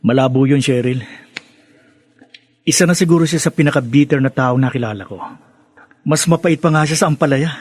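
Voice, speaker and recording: An actor with a Filipino accent playing an easygoing, teasing, self-assured older brother in a radio drama. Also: male voice, mid-range voice, fairly quick, long pauses, a little mellow, no echo, close to an everyday voice. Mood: teasing, casual.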